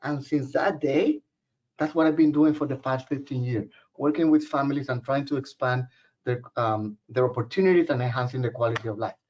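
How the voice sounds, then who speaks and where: A man's voice: medium pitch, a little fast, darkish tone. A man from Puerto Rico with a Puerto Rican Spanish accent, giving a webinar presentation in a joking, personal storytelling way. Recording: muffled lo-fi webinar audio.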